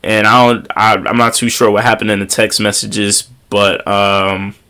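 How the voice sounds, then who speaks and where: A man's voice: on the low side, little pitch movement, a little slow, sharp tone, clean tone. A young man with a congested voice from a slight cold speaks to his viewers outdoors. He gives earnest, slangy motivational advice and repeats his key lines for emphasis. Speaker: motivational vlogger. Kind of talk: casual motivational talk to viewers. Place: outdoors on a windy day.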